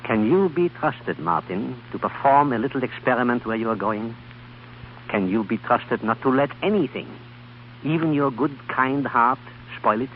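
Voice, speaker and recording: An actor playing a grave, exacting old scientist and mentor in an old-time radio drama, speaking with solemn deliberation. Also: male voice, medium pitch, lively intonation, normal speed, natural voice. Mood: probing. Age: older. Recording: muffled, noisy old radio broadcast.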